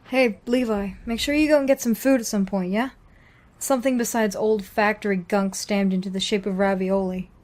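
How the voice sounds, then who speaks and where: A woman's voice: mid-range voice, fairly even intonation, moderate pace, darkish tone, lightly acted. An actress playing a brusque but caring woman survivor in an audio drama, speaking informally. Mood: brusque, exasperated.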